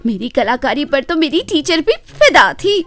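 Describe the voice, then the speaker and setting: A woman acts out a young daughter-in-law from a cartoon fairytale, very high and swooping in pitch. She is boastful, excitable and a little foolish, always wheedling to get her way. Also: measured pace, soft background music, full character voice. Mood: boastful, eager. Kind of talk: animated Hindi moral story character voice.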